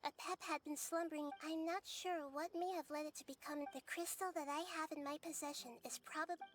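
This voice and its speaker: A woman playing a wise, gentle, childlike deity in a video game, thoughtful and reassuring as she explains. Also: sits high, steady tone, fairly quick, lightly acted. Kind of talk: scripted video game dialogue. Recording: music clearly behind the voice.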